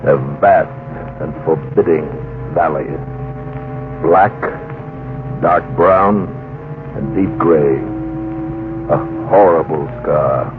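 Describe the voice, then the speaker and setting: Deep, grave radio-drama narrator. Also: male voice, noisy background, full character voice. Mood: grave, foreboding. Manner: solemn, deliberate, literary. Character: grave male expedition surveyor recounting his own ordeal. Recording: muffled old radio recording, music underneath.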